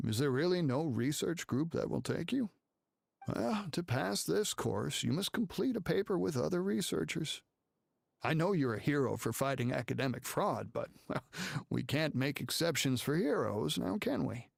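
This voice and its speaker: A man's voice: fairly high pitch, very animated, moderate pace, creaky, no echo, studio quality, full character voice. A man playing a fussy, condescending academic official in a video game, with a patronising, hedging delivery. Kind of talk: dramatic game voice acting.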